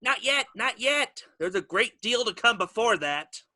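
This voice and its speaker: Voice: mid-range voice, expressive, measured pace, bright, forceful tone. A performer playing a fussy, officious rabbit court herald, declaiming in a dramatic reading. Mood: proclaiming. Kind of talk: dramatic reading of a classic children's story.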